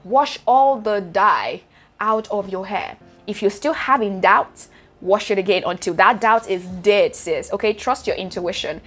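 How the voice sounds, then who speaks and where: A woman's voice: medium pitch, measured pace, a bit bright. A young Nigerian woman with a Nigerian accent, giving chatty, direct hair care advice to her viewers in a casual vlog. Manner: chatty, direct, addresses viewers as sis and guys.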